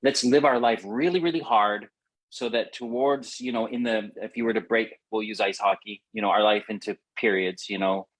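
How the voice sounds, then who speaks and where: Low, husky middle-aged man, rambling. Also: normal speed, rough recording. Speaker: podcast host. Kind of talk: casual reflective conversation.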